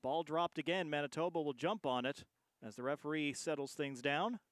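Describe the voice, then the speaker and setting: A man commentates live on a rugby match as the play-by-play announcer. His voice sits a little higher than most men's as he follows the action. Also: moderate pace, a little hiss or hum.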